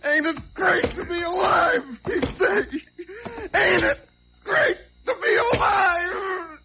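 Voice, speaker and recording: Desperate fugitive on muffled old radio. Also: male voice, very high-pitched, slow pace, vocal fry, shouting, full character voice. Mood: frantic, anguished. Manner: brooding, haunted, swinging into overwrought outbursts. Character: desperate fugitive man who killed a truck driver. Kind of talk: old-time radio crime drama, first-person narration. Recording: dull, noisy old radio recording.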